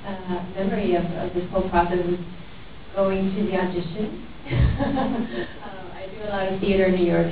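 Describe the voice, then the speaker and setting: A woman from Puerto Rico, an actress on a festival panel, answering excitedly and haltingly with bursts of laughter. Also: medium pitch, slight background noise. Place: large hall with an audience. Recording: far-off echoey hall, dull audience recording.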